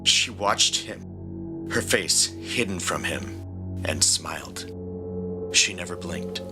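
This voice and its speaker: Low-voiced man narrating dramatic fiction. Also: slow pace. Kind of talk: dramatic scripted story narration. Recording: prominent music bed.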